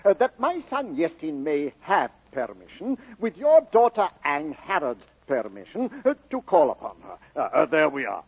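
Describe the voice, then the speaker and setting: Flustered, husky, creaky-voiced mine owner. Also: male voice, on the high side, big pitch swings, moderate pace, hardly any pauses, full character voice. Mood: flustered, formal. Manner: hesitant, stammering, muddled formality. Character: fussy, flustered wealthy mine owner calling on business. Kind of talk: 1940s radio drama acting. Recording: muffled old radio broadcast.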